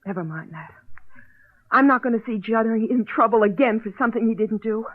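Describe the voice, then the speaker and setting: An actress playing a desperate, defiant gangster's wife in old-time radio melodrama, with breathless, halting, emotional delivery. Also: female voice, a little high, close to an everyday voice. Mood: threatening, defiant. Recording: dull, faintly noisy old radio recording.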